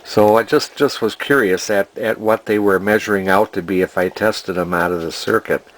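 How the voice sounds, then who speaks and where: A man's voice: on the low side, moderate pace, poor audio. An older man, casually narrating a repair as a hobbyist, rambling and hesitating as he thinks aloud. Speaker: hobbyist vintage TV restorer.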